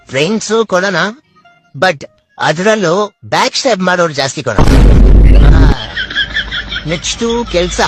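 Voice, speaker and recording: Man as a high, animated, scheming death god. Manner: gleefully malicious, emphatic, deliberating aloud. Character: scheming god of death doling out life's hardships. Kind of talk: comedy cartoon voice acting. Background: loud music.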